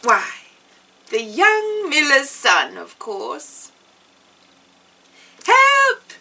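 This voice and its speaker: A woman reading a children's fairy tale as a storyteller witch, in a theatrical, measured way. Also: fairly high pitch, sing-song, sharp tone, distant mic. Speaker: storyteller playing an amusing witch.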